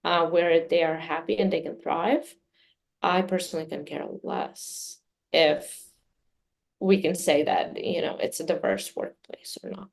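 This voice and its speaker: A woman whose first language is Serbian, answering interview questions in a measured way with many fillers. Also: lowish voice, fairly even intonation, gravelly. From Midwest US. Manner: measured, many fillers, speaks of herself personally. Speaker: communications director, interview guest.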